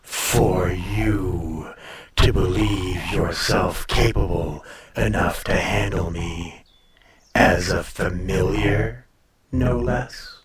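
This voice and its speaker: A man playing an ancient, proud dragon in humanlike form in an intimate audio roleplay, speaking to the listener with haughty, teasing condescension and drawn-out phrasing. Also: sits low, over a noisy crowd. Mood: haughty, scornful.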